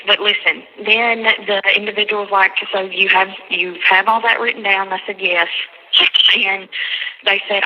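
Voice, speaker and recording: A woman on a recorded jail phone call, recounting a conversation in a halting, repetitive way and quoting others at length. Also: medium pitch, pushed and bright, slight background noise. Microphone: telephone handset.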